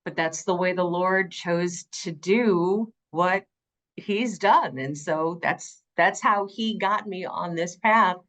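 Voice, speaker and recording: A woman's voice: slow, fairly bright tone. A middle-aged woman with an alto voice, telling her story emphatically as an interview guest. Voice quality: low alto, changed by vocal nodules. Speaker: guest, audiobook narrator.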